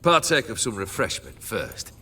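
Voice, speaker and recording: Olgierd, a jaded sardonic noble. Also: male voice, fairly low pitch, huge pitch swings, normal speed, bright, forceful tone, breathy edge, heavily acted. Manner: languid, sardonic, drawling. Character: cursed jaded male noble bandit leader. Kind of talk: game cutscene voice acting. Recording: rowdy crowd of revelers behind.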